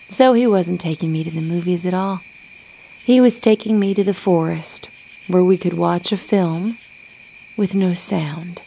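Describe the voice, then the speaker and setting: A woman narrates a first-person story in a measured, conversational way. Her voice sits fairly low, with a gravelly fry running through it. Its tone is dark and mellow. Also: a little hiss or hum, poor audio. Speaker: story reader.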